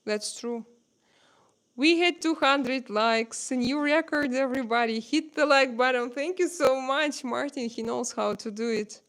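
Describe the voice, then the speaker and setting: A woman with a Russian accent and Russian as her first language, hosting a casual live-stream chat at home and pausing often to search for words. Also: high-pitched, a bit bright. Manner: halting, searching for words with many pauses.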